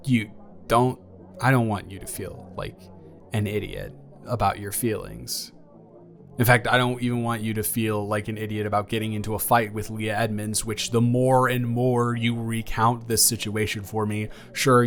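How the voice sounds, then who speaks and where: A young man playing a caring, awkward ex-boyfriend in an improvised romantic audio roleplay, speaking in a halting, earnest, gently reassuring way. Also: fairly low pitch, lightly acted. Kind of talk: improvised romantic audio roleplay, intimate one-sided conversation. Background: background music.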